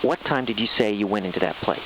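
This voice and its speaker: A man's voice: lowish voice, fast talker, a little hiss or hum, close to an everyday voice, rough recording. An actor playing a worried male friend in a horror audio drama, grave and insistent in his warnings. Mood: urgent warning.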